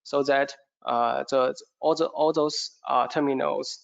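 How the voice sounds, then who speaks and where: A man presents a product as if in a training session. His voice is low-pitched for a male speaker and creaks with fry. He moves haltingly, hesitating and repeating words as he explains. The audio is dull and lo-fi. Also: fairly even intonation.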